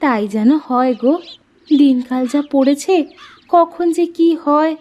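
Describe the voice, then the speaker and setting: A woman's voice: sits high, unhurried, darkish tone, highs cut off. A woman playing a caring, chatty farmer's wife in a Bengali cartoon story, with colloquial rural housewife talk. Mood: anxious, practical.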